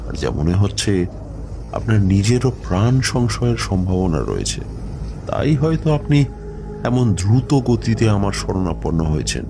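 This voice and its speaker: A man playing a grave tantric mystic who recounts his eerie past in a horror audio story, ominous and deliberate, with dramatic pauses. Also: fairly low pitch, very animated, music in the background. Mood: concerned, calming.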